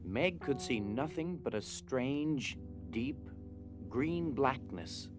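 A man narrates an audio drama in a medium-pitched voice. His delivery is slow, solemn and measured, with soft music behind him. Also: lively intonation.

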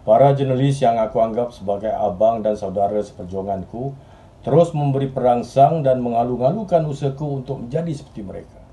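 A man acting an earnest, restless journalist in a dramatic stage monologue, speaking in deliberate literary phrases with pauses. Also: mid-range voice, mellow, lightly acted. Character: earnest, restless male journalist reminiscing about his admired mentor. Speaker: stage actor. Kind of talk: theatrical monologue in Malay drama.

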